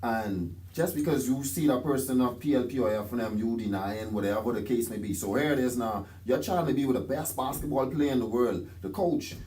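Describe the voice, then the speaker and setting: A man's voice: mid-range voice, fairly quick, few pauses, mellow. A Bahamian man, a taxi driver, talking on a podcast in an emphatic, preachy rant, often acting out what others say. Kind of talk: casual podcast chat.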